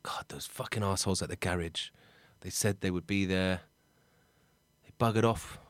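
A man's voice: low voice, fairly even intonation, moderate pace, no echo, lightly acted. A man playing a hapless, chatty, sweary Englishman stranded abroad in an improvised comedy drama. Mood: forlorn muttering. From England. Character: Bob, hapless sweary Englishman stranded in France, missing his dad.

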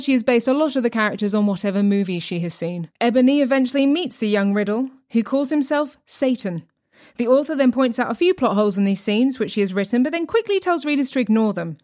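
A woman's voice: a little high, a little mellow. A woman presenting a podcast with scripted, tongue-in-cheek narration.

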